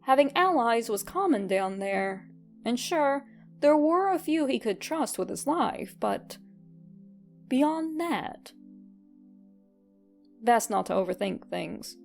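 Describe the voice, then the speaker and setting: Creaky-voiced woman reading a story aloud. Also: fairly high pitch, darkish tone. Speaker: fan fiction reader. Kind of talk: expressive scripted story narration.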